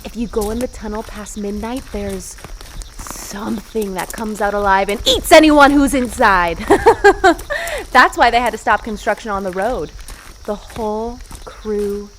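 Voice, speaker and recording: A woman's voice: medium pitch, unhurried, a little hiss or hum, lightly acted. A woman playing a bossy, mischievous teenage girl in a horror audio play. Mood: teasing, bossy. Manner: bossy, teasing, animated.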